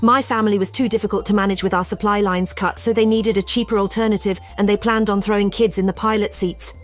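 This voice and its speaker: Woman playing a dry, deadpan, proud war machine. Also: medium pitch, fast talker, soft background music, lightly acted. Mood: somber. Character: proud, dry-witted female personified WWII jet fighter. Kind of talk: scripted audio drama dialogue.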